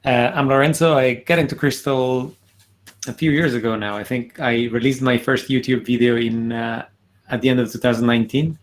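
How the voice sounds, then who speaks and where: A man introducing himself on a video call. He speaks haltingly, with many "uh" and "um" fillers. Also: medium pitch, fairly even intonation. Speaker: conference organizer and video creator. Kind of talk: casual self-introduction. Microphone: computer mic on a video call.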